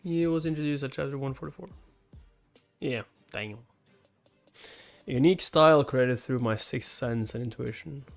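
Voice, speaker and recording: A man's voice: medium pitch, normal speed, vocal fry, pauses often. A man doing a live read-along, switching between narrating a comic and giving incredulous casual asides. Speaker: reaction host reading a webcomic aloud. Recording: music behind the voice. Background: background music.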